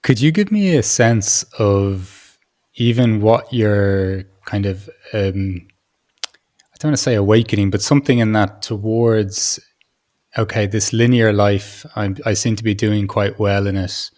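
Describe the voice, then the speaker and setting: A man's voice: lowish voice, measured pace, a little mellow. A man hosting a conversational interview, feeling his way through questions and often rephrasing as he searches for words. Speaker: podcast host, interviewer. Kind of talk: casual exploratory interviewing.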